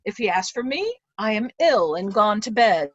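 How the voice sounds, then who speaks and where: A woman playing a secretive, anxious, loyal nobleman in an amateur reader's theatre Shakespeare drama, speaking in a measured, confiding way. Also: medium pitch, sing-song, a bit bright, dry recording, lo-fi sound. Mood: secretive, urgent. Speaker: amateur stage actor.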